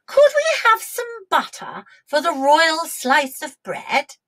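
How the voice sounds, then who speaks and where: A genteel storybook queen, voiced by a woman, speaks in coaxing verse. The voice is high and fluting, with polite, swooping intonation. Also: huge pitch swings, takes it slowly, a bit bright, heavily acted. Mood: polite request.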